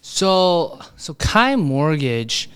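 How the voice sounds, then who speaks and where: A man's voice: on the high side, big pitch swings, extremely slow, a bit bright, smooth. A young man from New York City whose first language was Chinese, chatting casually as a podcast guest with lots of "you know" fillers and restarts. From New York City, USA. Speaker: podcast guest, mortgage broker. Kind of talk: casual interview chat. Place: podcast studio. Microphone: podcast microphone.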